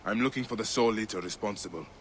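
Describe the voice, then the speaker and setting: A man's voice: lowish voice, very fast, a little hiss or hum, lightly acted. A man playing a grieving, vengeful Egyptian warrior in a video game cutscene, intense and impassioned. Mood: grim. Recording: music behind the voice.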